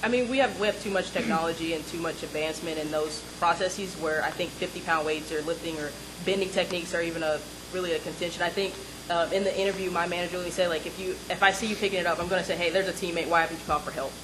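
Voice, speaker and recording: A woman's voice: lowish voice, fairly even intonation, racing. A young woman on a discussion panel who talks emphatically and projects her voice. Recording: noisy live event recording.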